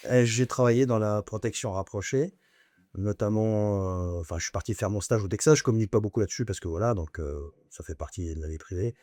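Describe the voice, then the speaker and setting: A man's voice: fairly low pitch, brisk, darkish tone, dry recording, studio quality. Blunt, slangy man in his forties. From Lebanon. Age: 40s. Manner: blunt, slangy, frequent fillers and chuckles. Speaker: podcast guest. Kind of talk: casual storytelling interview.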